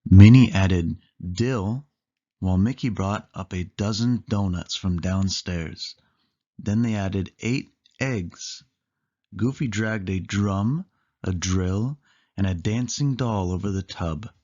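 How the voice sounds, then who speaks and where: A man's voice: sits low, slow pace, mellow, muffled recording. A man narrating a children's picture book aloud, leaning emphatically on key words. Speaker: storybook reader.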